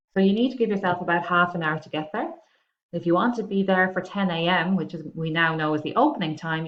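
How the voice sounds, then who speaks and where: Irish female tutor, low voice, informal walkthrough of a lesson, slightly muffled lo-fi sound. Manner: instructional, checking in with frequent "okay". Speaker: adult education maths tutor. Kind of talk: informal step-by-step screen-share lesson. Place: indoors, recorded over a screen capture.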